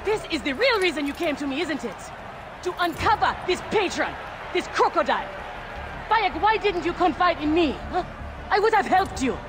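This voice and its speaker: A woman's voice: very high-pitched, lively intonation, normal speed, music in the background. An actress playing a tough but loyal mercenary woman in a video game, earnest and pressing. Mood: hurt, pleading. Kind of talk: dramatic video game acting.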